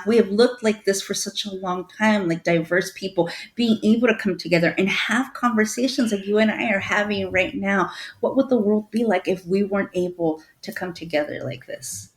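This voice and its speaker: A woman from Peru, a guest on a podcast, speaking thoughtfully with many fillers. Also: lowish voice. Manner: warm, thoughtful, with frequent fillers. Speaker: guest, clinical social worker. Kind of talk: conversational interview.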